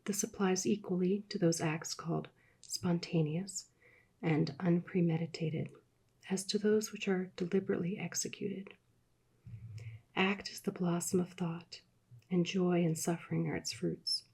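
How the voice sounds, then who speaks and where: Soft-spoken woman reading, breathy and low. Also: steady tone. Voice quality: soothing. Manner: soft, slow, drifting into whispers. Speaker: ASMR reader.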